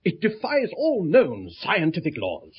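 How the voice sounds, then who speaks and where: A scholarly, eccentric English professor in a vintage radio play. His slightly high voice is husky and swings very widely as he lectures with theatrical earnestness. The old recording sounds dull and band-limited. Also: wildly swooping pitch, unhurried, heavily acted. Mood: grave, intent. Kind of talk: 1970s British radio drama acting.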